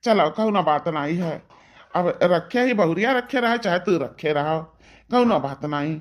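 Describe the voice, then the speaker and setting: A woman's voice: fairly low pitch, animated, normal speed, natural voice. A woman voicing an older village father-in-law in a cartoon, questioning and scolding with an Awadhi accent. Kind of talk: cartoon dialogue.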